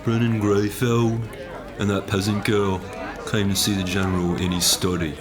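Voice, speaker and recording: Man acting a flat, low-voiced guard. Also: normal speed, crowd noise, natural voice. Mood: stolid, reporting. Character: stolid low-voiced male guard giving testimony. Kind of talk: fantasy audio drama acting.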